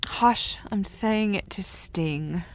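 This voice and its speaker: A woman playing a tipsy, haughty young noblewoman in a historical audio drama, teasing and rambling in a halting way. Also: mid-range voice. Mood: haughty, needling. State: playing slightly drunk. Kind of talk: scripted audio roleplay, period drama.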